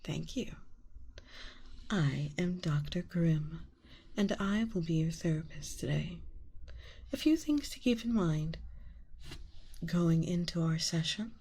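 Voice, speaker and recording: A woman playing a soft-spoken, probing therapist in an ASMR roleplay, breathy and often whispering, soothing and deliberate with pauses. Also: lowish voice, exaggerated voice.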